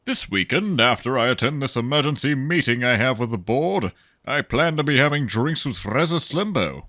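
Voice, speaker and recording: A man's voice: mid-range voice, animated, normal speed, sharp tone, no room sound, studio quality. A man playing a pompous, greedy corporate executive in a sci-fi audio drama, haughty and condescending in formal wording.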